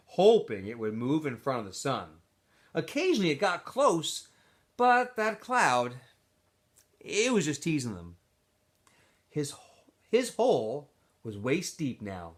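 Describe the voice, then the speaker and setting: Animated high-voiced man reading a story aloud. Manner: animated, with asides to listeners. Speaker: teacher reading aloud to students. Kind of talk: expressive storybook read-aloud.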